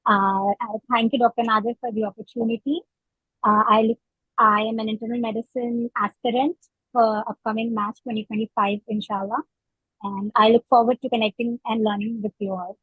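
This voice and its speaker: Breathy woman from India, tinny line. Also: a little high, little pitch movement, a little fast, no echo. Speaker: meeting participant. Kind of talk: self-introduction on a video call. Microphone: video call. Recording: tinny, dry phone-like line.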